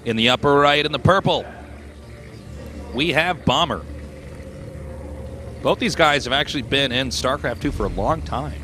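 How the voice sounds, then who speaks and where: A man's voice: medium pitch, pushed and bright, faint music behind. A man with a Midwestern accent casting a live esports match, joking and teasing his co-caster. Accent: Midwestern American, Kansas. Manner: joking, teasing banter with quick restarts. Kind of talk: live game commentary.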